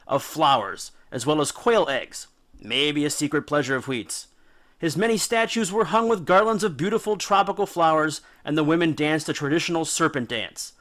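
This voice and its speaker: A man's voice: a little high, sharp tone. A man giving a scripted, informal educational narration, telling stories in a dramatic, jokey and slangy way with punchy emphasis. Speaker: narrator and host of a mythology video series.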